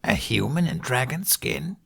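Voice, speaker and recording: Guttural old dragoness, acted by a man, harsh and gravelly. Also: mid-range voice, lively intonation, a little slow, fairly bright tone, voice pushed up, exaggerated voice. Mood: suspicious. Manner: archaic, threatening phrasing. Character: territorial older female dragon, haughty and menacing.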